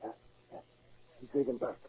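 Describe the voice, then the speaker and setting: Man as a very mellow-toned, jittery burglar, old radio. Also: normal speed, a little hiss or hum, close to an everyday voice. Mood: nervous. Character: nervous, wary male burglar in a gang. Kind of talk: 1930s radio drama acting. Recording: dull vintage 1930s radio recording.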